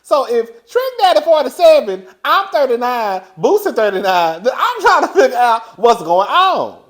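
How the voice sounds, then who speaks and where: A man in his thirties hosting a gossip vlog, chatty and animated, laughing often as he talks. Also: extremely high pitch, normal speed. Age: 30s. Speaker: host.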